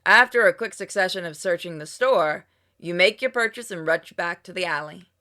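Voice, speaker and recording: A woman reading a story game aloud in an expressive narration, acting out exclamations and asides playfully. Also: on the low side, moderate pace. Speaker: gamer reading a visual novel aloud on a playthrough. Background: music with plucked guitar.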